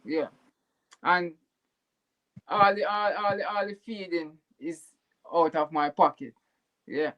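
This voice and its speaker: A man's voice: a little high, slow pace, pauses often, muffled recording. A Jamaican man speaking in an earnest, heartfelt way as a guest in a casual chat, sometimes repeating words. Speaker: YouTuber guest.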